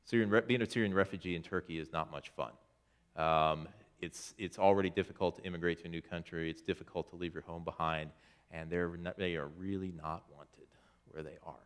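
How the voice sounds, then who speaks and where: A man's voice: low voice, steady tone, a little fast. A man, a church elder, giving an informal presentation to his congregation about a mission trip, talking conversationally with frequent restarts as he points to photos.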